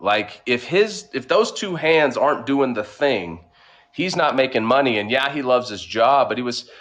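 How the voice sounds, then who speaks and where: A man's voice: medium pitch, expressive, normal speed, a bit bright. A man with a light Oklahoma drawl talks through his background in an easygoing, conversational way. He stretches some words out and leans on fillers as he goes, his voice sitting in an ordinary middle register. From Oklahoma, USA. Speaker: podcast guest, entrepreneur. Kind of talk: casual interview storytelling.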